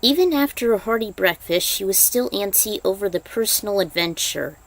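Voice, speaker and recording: A woman's voice: mid-range voice, lively intonation, fairly quick, rough recording. A woman narrating a fan fiction story as an amateur reader, sometimes stumbling over the text and correcting herself. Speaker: podfic narrator.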